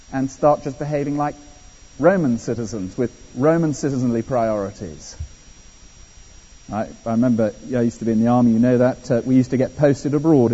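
An English man preaching a sermon, animated and conversational, with personal asides. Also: mid-range voice, slightly rough. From England. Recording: dull echoey hall.

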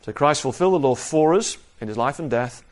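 A man preaching an expository sermon in a measured, explanatory manner, repeating key phrases for emphasis. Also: on the high side, expressive. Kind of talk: sermon, expository Bible teaching.

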